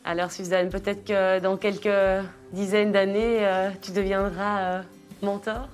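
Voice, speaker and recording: A woman's voice: medium pitch, little pitch movement, measured pace. A woman hosting an interview, asking friendly, upbeat questions. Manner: cheerful, laughing. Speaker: interviewer, host. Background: soft music.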